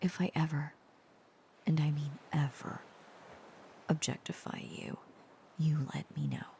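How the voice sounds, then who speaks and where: A woman playing a tender, protective dragon-blooded girlfriend in an intimate romantic audio roleplay, halting and earnest, often slipping into whispers. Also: fairly low pitch, gravelly, warm tone, a little hiss or hum. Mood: earnest, pleading. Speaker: voice actor.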